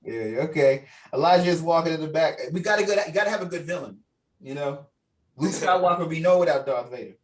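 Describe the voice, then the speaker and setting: A man's voice: a little high, lively intonation, quick pace. A man casually running a script table read over a video call, giving directions and reading out stage directions. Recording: distant mic on a video call.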